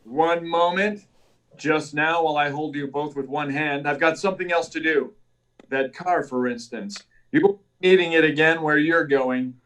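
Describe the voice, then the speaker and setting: A man's voice: a little high, moderate pace, a bit bright, natural voice. Man playing a confident superhero, slightly distant. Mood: coolly commanding. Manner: declamatory, self-assured, narrating his own actions. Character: confident, stalwart male superhero. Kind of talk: 1940s radio serial acting.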